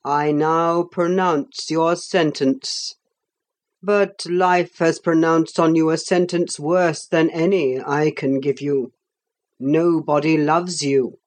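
A woman voicing a grave, calm Roman emperor who passes judgment in a slow, measured way. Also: deep voice, pitched below the performer's own voice. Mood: solemn.

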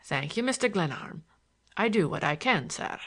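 Woman reading as a deferential, measured manservant. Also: lowish voice, lively intonation, a bit bright, husky, exaggerated voice. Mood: formal, composed. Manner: formal, measured, obsequious. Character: deferential, sly, imperturbable manservant.

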